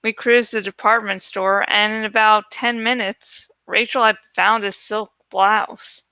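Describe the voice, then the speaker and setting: A woman's voice: medium pitch, steady tone, unhurried, sharp tone, smooth, dry recording. A woman reading a first-person story aloud as scripted audiobook narration. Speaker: audiobook reader.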